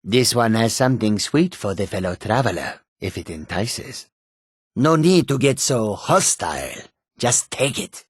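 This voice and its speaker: A male actor playing a courteous, sly humanoid cat trader in a fantasy video game, with a gravelly, husky voice and measured, formal speech. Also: lowish voice, animated, no echo, studio quality. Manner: measured, formal, refers to himself in third person.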